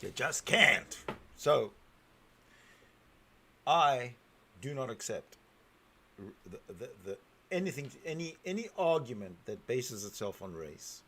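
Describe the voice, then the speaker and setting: A man hosting a talk show, commenting on a clip and jumping in with emphatic, sometimes halting remarks. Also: mid-range voice, slight background noise. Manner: animated, emphatic, swings loud and soft. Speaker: show host. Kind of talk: commenting over a played clip.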